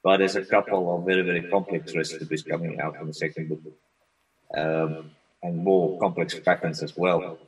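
A man whose first language is Afrikaans, a software consultant talking as a guest on a remote interview in a relaxed, rambling way. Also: sits low, moderate pace, far from the mic, poor audio. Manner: relaxed, rambling with frequent restarts. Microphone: video call.

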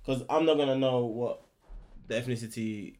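A man's voice: mid-range voice, normal speed, a little mellow. A man who grew up in Ghana, reflecting steadily on his past during a casual group discussion.

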